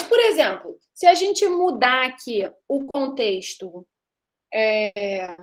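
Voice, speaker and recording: A woman in her thirties from Brazil giving a live classroom lecture, chatty and teasing with her students, often checking in with them. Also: fairly high pitch. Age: 30s. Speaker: university lecturer.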